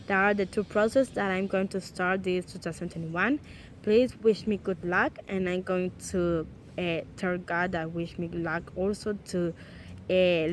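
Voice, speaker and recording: A young woman from El Salvador with a Spanish accent, speaking English in a casual, earnest vlog full of pauses and "eh" fillers. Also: mid-range voice, a little hiss or hum, rough recording. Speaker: vlogger.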